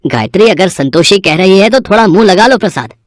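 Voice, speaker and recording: An actor playing a kindly, concerned, devout father in a cartoon moral story, gentle and expressive. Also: high-pitched, fairly quick, no room sound, clean studio sound. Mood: worried.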